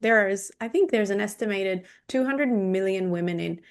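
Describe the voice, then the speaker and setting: A woman in her thirties from Indonesia tells her story as a podcast guest, at a medium pitch. Her speech is measured, and she often pauses on an um. She sounds like an expert who is speaking openly. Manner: measured, with frequent ums. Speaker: guest, clinical nutritionist and naturopath. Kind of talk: podcast interview, personal story.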